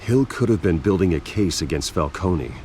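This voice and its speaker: An actor playing a brooding, determined vigilante billionaire in a video game, speaking tersely and deliberately with a serious manner. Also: male voice, deep voice, expressive, a little mellow, slight background noise. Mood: firm, reasoning. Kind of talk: dramatic video game acting.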